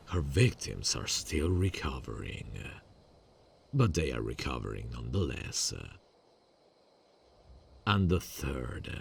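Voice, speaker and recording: An actor playing a calm, soft-spoken vigilante serial killer in an ASMR audio drama, quiet and menacing with a low, gravelly delivery. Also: male voice, recorded from a distance, full character voice. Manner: quiet and menacing, sweet on the phone.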